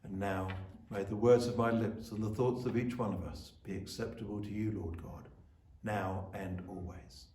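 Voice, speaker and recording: Measured male preacher in an echoey room. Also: fairly low pitch, steady tone, darkish tone, a little hiss or hum. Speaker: church officiant giving a reflection. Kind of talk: sermon with scripture reading and prayer. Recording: roomy, echoing sound.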